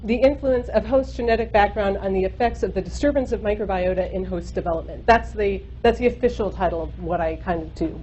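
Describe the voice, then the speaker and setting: A woman in her thirties with a naturally loud voice lectures to a room full of listeners. She talks casually, dotting her explanations with ums and uhs. The recording is slightly muffled, with a faint hum underneath. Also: mid-range voice. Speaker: guest speaker, microbiologist. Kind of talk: university seminar lecture. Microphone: microphone at a lecture.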